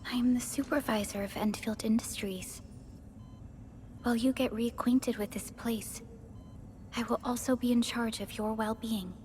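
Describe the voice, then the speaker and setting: A woman playing a calm, caring young supervisor in a video game, speaking softly and breathily in a measured, gentle, formal manner. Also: a little high, slight background noise, lightly acted. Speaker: game character voice actor. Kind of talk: scripted video game dialogue.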